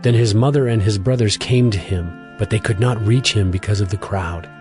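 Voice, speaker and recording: A man narrating a dramatized scripture reading in a steady, even storytelling voice. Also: fairly low pitch, normal speed. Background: music.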